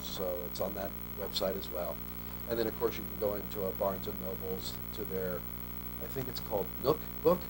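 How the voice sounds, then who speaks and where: A man's voice: low-pitched, fairly quick. A man in his forties, born in Australia, talking casually and at length as an author guest in a television interview. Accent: American English, faint Australian trace lost. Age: 40s. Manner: relaxed, talks in long loose sentences with restarts. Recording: mains hum under a local TV studio recording.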